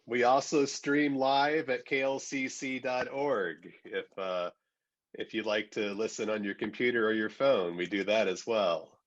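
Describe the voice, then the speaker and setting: A man giving measured, explanatory answers as a radio program director, often repeating the start of a phrase. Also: fairly low pitch, highs cut off. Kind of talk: explanatory question-and-answer talk.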